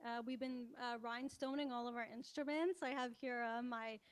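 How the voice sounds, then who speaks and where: A woman's voice: fairly high pitch, steady tone, normal speed, clear, resonant tone, no echo. A young woman, a musician chatting casually as an interview guest. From Toronto, Canada. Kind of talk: casual chat.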